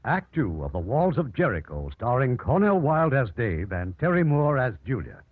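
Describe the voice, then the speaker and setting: A man's voice: medium pitch, big pitch swings, normal speed. A man giving a scripted scene introduction as host of an old-time radio drama. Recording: narrow old broadcast over organ music. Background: organ music.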